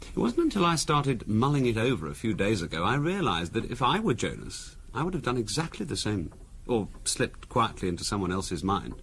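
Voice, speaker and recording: An actor playing a calm, quietly insinuating middle-class husband in a 1970s radio drama, musing aloud in a deliberate, conversational way. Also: male voice, on the low side. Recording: noisy 1970s radio recording.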